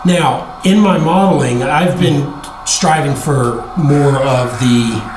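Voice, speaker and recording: A man with a hoarse voice from a cold, hosting a casual hobby chat with a rambling, upbeat way of explaining things. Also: mid-range voice, takes it slowly, roomy sound, background noise. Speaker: host.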